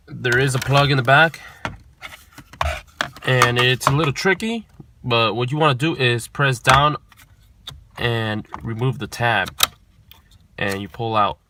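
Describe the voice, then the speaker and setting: A man's voice: medium pitch, unhurried, pauses often. A man hosting a car installation tutorial, explaining each step casually and informally, repeating himself as he goes. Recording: noisy, clicks and vehicle sounds behind.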